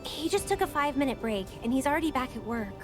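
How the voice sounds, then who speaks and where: A woman's voice: sits high, sing-song, fast talker. A woman playing an eager, earnest young clinic helper in a video game, over music. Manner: earnest, enthusiastic.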